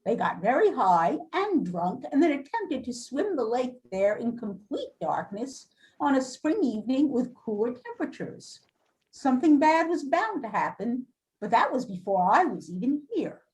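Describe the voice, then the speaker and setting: A woman's voice: high-pitched, very animated, normal speed, a little mellow, low-quality recording. A woman playing a defensive, businesslike school administrator in an amateur readers theater reading.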